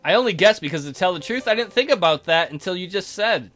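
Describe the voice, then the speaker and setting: An awkward teenage schoolboy tells his own story in a visual novel, read by a man. He is hesitant and stammers over his words. The voice is bright, with faint music underneath. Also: high voice, lively intonation, quick pace.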